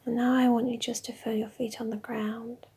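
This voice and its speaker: A woman leading a guided meditation in a slow, soft, mostly whispered voice. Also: fairly high pitch, flat delivery, breathy edge.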